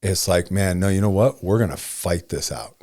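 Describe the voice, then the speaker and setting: A man's voice: low voice, normal speed, darkish tone, no echo, studio quality. A man in his forties co-hosting a casual podcast, thinking aloud and often checking in with "right?" and "you know". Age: 40s. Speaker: podcast co-host.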